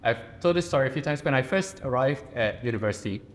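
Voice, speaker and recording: A poet from Singapore with a Singaporean accent, telling a personal story in a measured, earnest way on a panel. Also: male voice, mid-range voice, animated. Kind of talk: personal reflective talk. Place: reception room at a residence.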